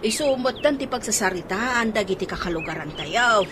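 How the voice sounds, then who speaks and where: A woman's voice: mid-range voice, lively intonation, moderate pace, lightly acted. A woman acting a nosy, gossiping senior-citizen neighbour in an Ilocano radio comedy drama, with a scandalised manner. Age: older. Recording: radio drama with clear background noise.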